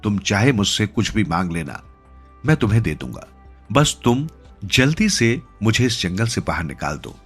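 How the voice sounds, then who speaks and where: A man's voice: on the low side, big pitch swings, moderate pace, a bit bright, slightly rough, exaggerated voice. A man voicing an earnest, pleading merchant lost in the forest in a narrated storybook tale. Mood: eager, trusting. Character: male merchant, lost, apologetic, kind-hearted and trusting. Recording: music behind the voice.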